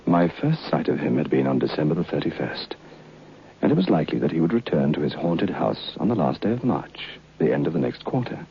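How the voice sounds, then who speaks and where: A man playing an earnest, polite gentleman narrator in an old-time radio drama. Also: rumbling low, sing-song, a little fast, full character voice. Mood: earnest, sympathetic. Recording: muffled, faintly noisy old radio recording.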